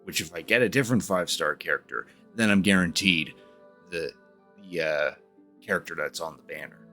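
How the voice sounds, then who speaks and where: A man giving casual livestream game commentary, informal and a bit halting as he talks. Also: low-pitched, bright, forceful tone. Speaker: game streamer. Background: game music.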